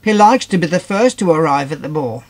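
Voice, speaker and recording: A man's voice: fairly high pitch, expressive, a little fast. Bloke doing a nice chatty boy piggy for a kids storybook, generous sort, bouncy intonation. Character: kindly, confident male toy pig, generous friend. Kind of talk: children's storybook character voice.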